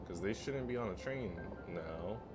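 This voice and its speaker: A man's voice: fairly low pitch, animated, measured pace, loud music behind the voice. A man livestreaming a game, chatting casually and informally with plenty of slang. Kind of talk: casual livestream chat, reading game narration aloud.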